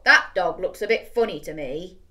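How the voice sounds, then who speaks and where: A woman voicing a big, jolly, slow-witted skeleton in a children's storybook, loud and drawn-out. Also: fairly low pitch, lively intonation. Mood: puzzled.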